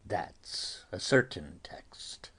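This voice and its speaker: A man playing a grave, uneasy older friar in a Shakespearean dramatic reading, halting and deliberate. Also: fairly bright tone.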